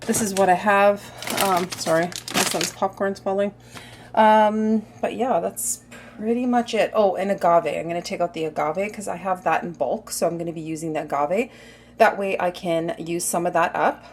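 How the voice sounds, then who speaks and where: A woman filming a casual cooking video in her home kitchen, chatty and full of little asides, her voice a bit hoarse. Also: fairly low pitch, moderate pace, a little hiss or hum. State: slightly hoarse. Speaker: cooking vlogger.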